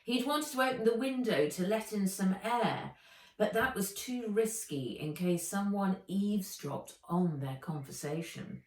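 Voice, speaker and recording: Unhurried, expressive woman reading a story, echoey. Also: medium pitch. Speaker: author reading their own book. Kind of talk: children's audiobook narration. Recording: roomy echo, distant mic.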